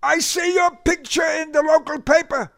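Man playing a booming barrel-chested grocer, broken english, loud, gravelly. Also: very animated, slow pace, heavily acted.

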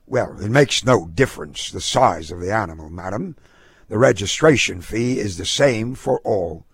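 A man's voice: fairly low pitch, lively intonation, normal speed, slightly rough, no echo, studio quality. A man voicing a haughty, officious dog show official in a storybook, speaking formally with disdain and command. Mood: disdainful.

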